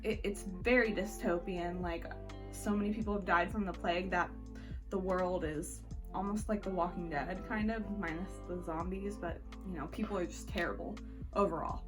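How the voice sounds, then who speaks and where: A woman's voice: lowish voice, steady tone, moderate pace, music in the background. A woman talking casually and conversationally as a book vlogger, giving informal reviews with wry asides.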